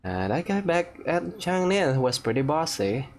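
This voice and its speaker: Female gaming host: casual, wry, very low register, lively intonation. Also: unhurried, noisy background. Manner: animated, wry. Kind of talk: casual gameplay commentary with dubbed-along dialogue.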